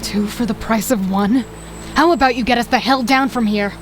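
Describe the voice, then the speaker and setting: A woman's voice: on the high side, moderate pace, a little hiss or hum. A woman narrating a survival audio drama in the first person with a dry, sardonic and commanding manner. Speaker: narrator and lead character. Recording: background music.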